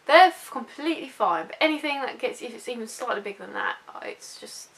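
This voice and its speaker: A woman's voice: on the high side, animated, brisk, creaky, a bit bright. A young woman with a British accent chatting casually and informally in a personal vlog, rambling a little and poking fun at herself. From United Kingdom. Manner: informal, rambling, self-deprecating. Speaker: YouTube vlogger. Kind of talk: casual personal chat to camera.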